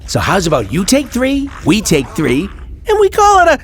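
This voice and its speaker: An actor playing a cocky, wisecracking space outlaw in a superhero video game, talking in informal slang. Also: male voice, sits high, huge pitch swings, normal speed, exaggerated voice. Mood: cocky bargaining. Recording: music under the voice.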